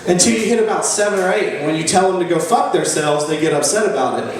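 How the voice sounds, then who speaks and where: A man's voice: a little high, fast talker, distant mic. A young man works a stand-up crowd, his raised voice heard from well off the mic in a very echoey room. He spins crude, swaggering tales with mock-heroic grandeur, and a faint hum sits under everything. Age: 20s. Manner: profane, boastful, mock-epic delivery. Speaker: stand-up comedian. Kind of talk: stand-up storytelling.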